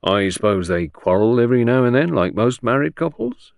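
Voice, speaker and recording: A man playing a shrewd police inspector in an audiobook, probing in a confidential, slightly gruff manner. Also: medium pitch, very animated, moderate pace, dry recording, clean studio sound. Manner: easy, confidential, probing, grunting at times. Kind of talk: audiobook character reading.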